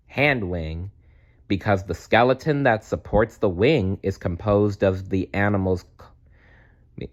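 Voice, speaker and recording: A man reads a children's storybook aloud in a slightly low voice. His delivery is slow and highly animated, with conversational asides mixed into the narration. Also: muffled recording. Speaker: storybook reader. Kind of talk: expressive read-aloud narration with personal asides.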